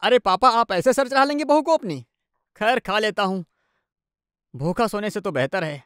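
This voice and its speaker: A man acts out an exasperated young husband in a cartoon story. His pitch moves around a lot as he grumbles sarcastically, and the sound is dry. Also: expressive, normal speed, fairly bright tone, smooth, no room sound. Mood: annoyed. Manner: sarcastic, complaining. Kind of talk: animated moral story dialogue.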